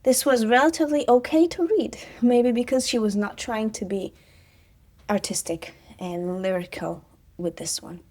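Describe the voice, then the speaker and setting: A woman's voice: fairly high pitch, moderate pace, a little mellow. Chatty woman vlogger. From Israel. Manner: chatty, sarcastic asides, frequent laughs and fillers. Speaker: vlogger, personal trainer. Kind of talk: casual commentary vlog.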